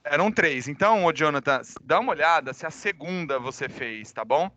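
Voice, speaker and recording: Bright-voiced Brazilian man teaching, with a cold. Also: a little high, lively intonation, fairly quick, a little hiss or hum. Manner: informal, conversational, addresses students directly. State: recovering from a cold. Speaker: high school physics teacher. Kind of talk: informal online class announcements. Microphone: microphone.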